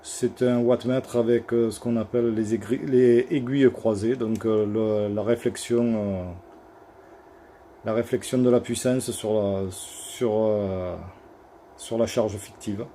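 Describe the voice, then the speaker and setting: Mellow-voiced man, halting, with background noise. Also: mid-range voice, fairly even intonation. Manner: hesitant, halting delivery with many hesitation sounds. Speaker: radio repair technician presenting his work. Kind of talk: informal equipment demonstration. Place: electronics workshop.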